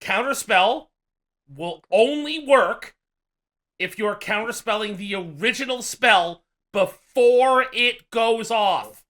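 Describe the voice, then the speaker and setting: A man running a tabletop game, explaining his house rules haltingly, with many restarts. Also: high voice, expressive, sharp tone. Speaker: game master.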